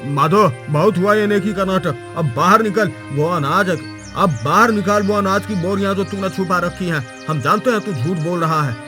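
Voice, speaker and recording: A man's voice: high-pitched, normal speed, few pauses, over music, natural voice. A man playing a plain-spoken, earnest village farmer in a Hindi moral cartoon story. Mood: angry demanding.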